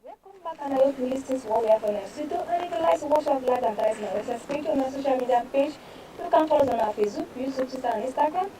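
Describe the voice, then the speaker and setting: High-voiced Nigerian woman presenter, distant mic. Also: racing, dark tone, a little hiss or hum. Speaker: news presenter. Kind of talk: reading newspaper headlines and reports aloud for a press review.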